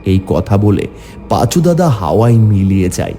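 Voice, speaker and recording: A village man played by a man tells his own ghost tale for a horror cartoon. His mellow voice is very animated and theatrical, swinging widely in pitch. Faint music runs beneath. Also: moderate pace. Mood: grave narration. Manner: theatrical, rising into high pleading. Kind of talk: dramatic first-person horror story narration.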